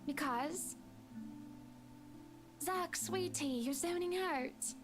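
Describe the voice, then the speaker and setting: High female voice, woman acting wealthy married lady, gracious, affectionate, bossy, game dialogue, background music. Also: very slow, heavily acted. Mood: sweetly prodding. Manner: polite, affectionate, genteel. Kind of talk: visual novel voice acting.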